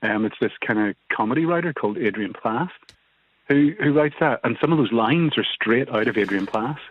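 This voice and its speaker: A man's voice: mid-range voice, animated, a little fast, slightly rough. A man, a church minister, recounting events as a radio interview guest in a hesitant way, repeating his words. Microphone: phone line. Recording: tinny dry phone line.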